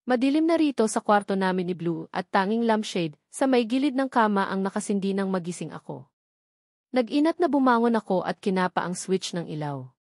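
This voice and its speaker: A woman's voice: medium pitch, lively intonation, fairly quick, darkish tone, no echo, clean studio sound. A woman with a Filipino accent reading a first-person novel aloud as a scripted narration. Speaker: audiobook narrator.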